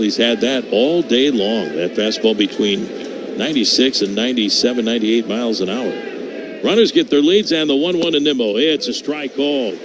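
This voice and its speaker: Guy doing live baseball play by play on radio, medium pitch voice, noisy ballpark behind him. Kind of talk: live radio sports commentary. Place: open-air ballpark broadcast booth. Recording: clear outdoor ballpark noise behind.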